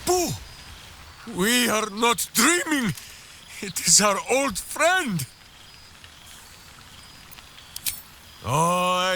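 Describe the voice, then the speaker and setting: An actor playing a hearty, simple-minded big warrior in a video game, boisterous and theatrical, speaking of himself in the third person. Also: male voice, a little high, pushed and bright, a little hiss or hum, exaggerated voice. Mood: joyful greeting. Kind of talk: video game character acting.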